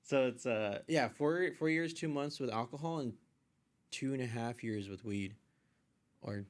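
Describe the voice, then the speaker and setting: A man with an everyday, mid-pitched voice talks as a guest on a podcast. He tells personal stories casually, in slangy, loosely strung sentences that wander as they go. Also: a little slow. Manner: informal, slangy, meandering.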